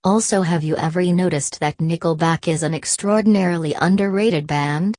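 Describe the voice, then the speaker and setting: A woman voicing a snarky female AI robot sidekick who mocks the host in a dry, flat way. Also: fairly low pitch, moderate pace, no room sound, natural voice. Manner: dry, mocking, flat delivery.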